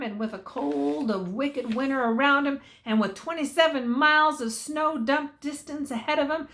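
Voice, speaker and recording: A woman's voice: high voice, pushed and bright. An older woman narrating a story aloud in a folksy, dramatic way, drawing out key words.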